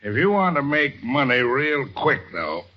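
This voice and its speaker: An actor playing a laconic, grumbling plumber in old radio comedy, with a dry, curt, deadpan delivery. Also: male voice, medium pitch, unhurried. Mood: gruff, boastful. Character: grumbling, laconic plumber full of failed money-making schemes. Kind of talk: 1930s-40s radio comedy acting. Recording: muffled, very noisy old radio recording.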